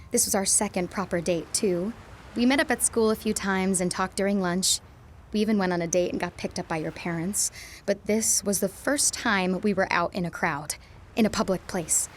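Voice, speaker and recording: A woman's voice: mid-range voice, a little hiss or hum, lightly acted. An actress playing a young woman, tender and teasing, recalling a first kiss in a radio drama. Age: young adult. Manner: breathless, giddy, teasing and tender.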